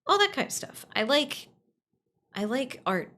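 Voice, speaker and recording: A woman's voice: high-pitched, very animated, unhurried, bright, forceful tone. A woman chatting casually on a livestream, answering viewers in a gushing way and repeating phrases for emphasis. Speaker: livestream host.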